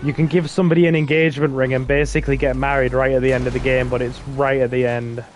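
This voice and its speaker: A man's voice: mid-range voice, little pitch movement, fast talker, a little hiss or hum. A man hosting a casual gaming stream, chatting with a friend in a rambling way with fillers. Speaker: streamer host.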